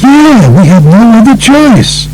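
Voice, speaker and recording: A male narrator puts on a nervous mobster brother-in-law who whines. The audiobook voice rides well above the reader's usual pitch and slides around a lot, with music underneath. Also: big pitch swings, takes it slowly, gravelly, darkish tone, slight background noise, full character voice, rough recording. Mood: whining, pleading. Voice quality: whining.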